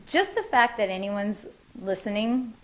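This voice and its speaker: A woman's voice: mid-range voice, big pitch swings, a little slow, a little hiss or hum, poor audio. A woman musician answering interview questions in her living room, thoughtful and loose, with many fillers and restarts.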